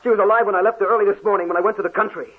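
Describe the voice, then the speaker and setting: A man's voice: high-pitched, fairly even intonation, very fast, husky. An actor playing a panicked young man who refuses to believe what he is told, pleading in 1940s radio horror style, heard through a tinny old broadcast. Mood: desperate disbelief. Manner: pleading, rising to screams.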